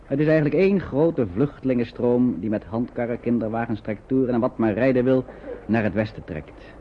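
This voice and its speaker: An actor as a chatty, sympathetic narrator telling a radio play story in colloquial Dutch style. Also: male voice, medium pitch, fairly quick, natural voice. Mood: vivid, sympathetic. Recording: dull, noisy old radio recording.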